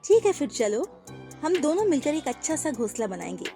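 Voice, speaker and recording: Woman voicing a gentle mother sparrow. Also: high voice, sing-song, moderate pace, soft background music. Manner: soft, reassuring.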